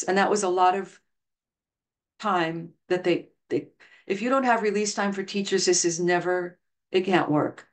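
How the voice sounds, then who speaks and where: Woman, middle-aged, online slide lecture, video call mic, earnest storyteller. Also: medium pitch. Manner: earnest, storytelling, reads quotes aloud. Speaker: education researcher presenting a talk. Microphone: video call webcam.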